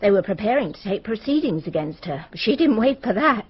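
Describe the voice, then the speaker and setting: A woman playing a well-informed, helpful local with an English accent and husky voice, explaining matter-of-factly in a radio drama. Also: mid-range voice, very animated, brisk. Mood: explanatory. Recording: slightly dull radio drama audio.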